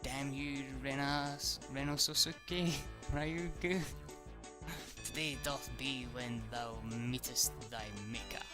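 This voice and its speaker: The reader voicing a melodramatic, swaggering villainous bailiff with theatrical, drawn-out archaic speech in a visual novel. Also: medium pitch, fairly even intonation, over music, lightly acted. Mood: mock menace. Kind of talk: visual novel read-aloud.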